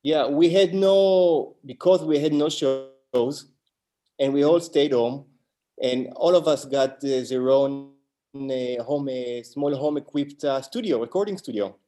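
A man's voice: medium pitch, a little slow, warm tone. Israeli-accented man telling stories casually. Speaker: band musician, interview guest. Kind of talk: casual interview chat, storytelling.

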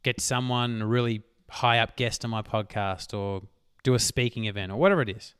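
A man hosting a solo motivational podcast, talking emphatically and swearing casually, his voice worn hoarse. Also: medium pitch, a little fast, a bit bright, no room sound, studio quality. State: losing his voice. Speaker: podcast host.

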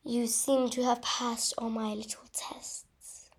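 A woman voicing a menacing male dark wizard villain, cold and mocking, drawing out the words in a story reading. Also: fairly high pitch, flat delivery, slightly rough, in a whisper. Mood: mocking menace. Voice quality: soft, cold. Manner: mocking, drawn-out delivery.

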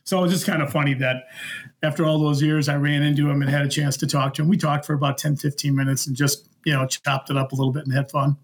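A middle-aged man telling anecdotes in a casual, unhurried, conversational way, often retelling dialogue as he goes. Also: on the high side, level tone. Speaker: podcast guest, former concert security worker.

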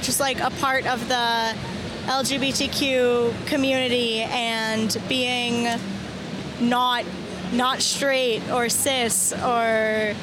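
A woman's voice: on the high side, level tone, takes it slowly. A teenage girl answering interview questions shyly and hesitantly. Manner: shy, hesitant. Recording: noisy convention floor.

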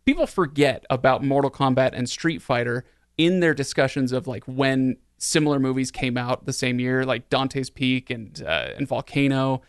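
A man's voice: mid-range voice, normal speed, clean tone, no room sound, clean studio sound. A man talking casually about films as a podcast host, opinionated and repeating words for emphasis. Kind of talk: casual film discussion.

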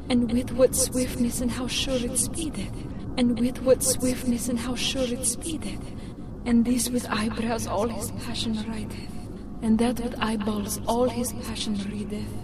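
A woman's voice: fairly high pitch, flat delivery, a little slow, lightly acted. A woman playing a tormented, tender captive lady in an audio drama, speaking archaic storybook lines with a pleading manner. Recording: music behind the voice.

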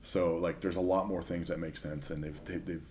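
A young man chatting casually as a podcast guest, telling stories in a relaxed, rambling way. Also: deep voice, normal speed. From Northern California. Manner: chatty storyteller. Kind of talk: casual chat.

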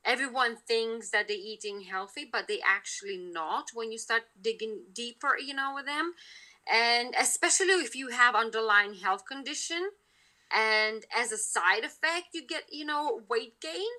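A woman with a Lithuanian accent and a very bright, forceful voice, pitched a little high. She talks casually as a podcast guest, full of fillers and restarts. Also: normal speed. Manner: chatty, many fillers and restarts. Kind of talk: casual interview talk.

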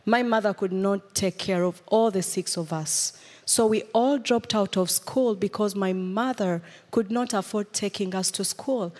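A woman in her thirties from Uganda, a guest speaker telling her life story to a church in an earnest, measured way. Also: medium pitch, a little mellow, long reverb tail. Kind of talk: personal testimony. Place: church sanctuary.

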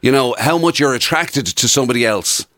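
A man's voice: medium pitch, fairly quick, sharp tone, no echo, studio quality. An Irish man co-hosting a radio show in casual, chatty banter.

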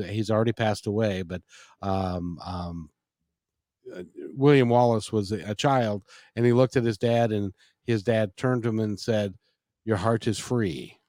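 A man hosting an interview, talking in an animated, joking way with lots of fillers. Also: lowish voice, a little slow, dry recording, studio quality. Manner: animated, jokey, with many fillers. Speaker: host. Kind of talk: interview conversation.

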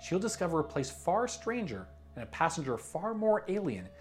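A man reading a scripted promotional pitch as a creative director, with dramatic storyteller-like emphasis. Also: very animated, normal speed, faint music behind.

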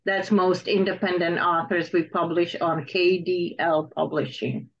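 A woman's voice: on the low side, steady tone, unhurried. Woman interviewer with slightly muffled sound. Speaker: interviewer, host. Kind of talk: conversational interviewing.

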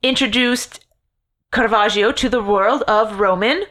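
A woman's voice: on the high side, a little slow, a bit bright, rough recording. Woman telling a story on a podcast, jokey and swears, rambles a lot. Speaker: podcast co-host. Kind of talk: casual storytelling chat.

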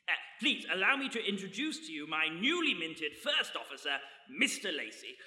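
High, very bright blustering ship's captain. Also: male voice, very animated, moderate pace, exaggerated voice. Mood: flustered politeness. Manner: hesitant, stammering, fussy, chuckling bluster. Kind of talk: comic radio play acting. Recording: echoey hall, live stage recording.

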